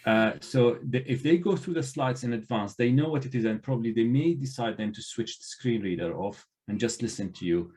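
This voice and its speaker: A man's voice: medium pitch, darkish tone. A man who is not a native English speaker, a librarian trainer speaking earnestly on an online panel, with frequent restarts. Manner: earnest, halting, many restarts.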